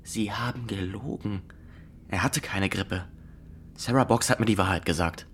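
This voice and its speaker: A man's voice: fairly low pitch, fairly bright tone, slight background noise, close to an everyday voice. An actor as a stiff, rule-bound young male inspector. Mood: earnest, firm. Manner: stiff, formal, deliberate. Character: rigid, rule-bound young fox inspector, official and earnest. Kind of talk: radio drama acting.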